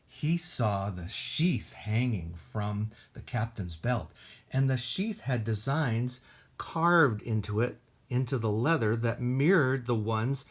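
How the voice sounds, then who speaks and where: Male storytime reader for kids, lively and swooping intonation, slightly husky tone. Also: mid-range voice. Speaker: library storytime host and reader. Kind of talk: expressive read-aloud storytelling for children.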